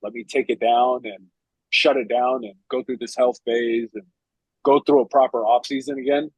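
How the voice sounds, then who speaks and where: A husky man in his late thirties, a competitive physique athlete, talking casually and rambling with lots of filler words. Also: mid-range voice, steady tone, moderate pace, no echo, poor audio. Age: 30s. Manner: loose and rambling, heavy "like" fillers. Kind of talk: casual podcast chat.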